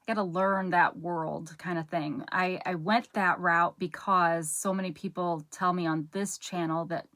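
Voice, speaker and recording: A young woman with a low, soothing voice talks her way through an auction haul in a loose, rambling chat. She stops mid-sentence, circles back and muses aloud as she sorts each item. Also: fairly even intonation, measured pace, hardly any pauses, fairly bright tone, no echo. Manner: rambling, chatty, self-interrupting while sorting items. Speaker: channel host. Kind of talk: casual haul vlog chat.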